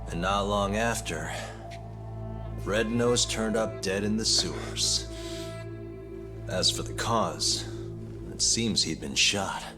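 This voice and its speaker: Over clear dramatic music, a fairly low-pitched younger man talks like a game's cool investigator. He is calm and pointed, with a dry, cheeky edge to his questioning. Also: slow pace, pauses often. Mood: probing, firm. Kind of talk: English video game dub acting.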